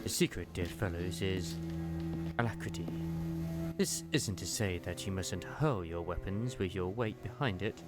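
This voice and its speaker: A man acting as a young ship's first mate in a fantasy game story, low and soft-spoken. He teaches with formal, old-fashioned courtesy and measured phrasing, over music. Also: expressive. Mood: calm, instructive. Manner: archaic, measured, instructive wording.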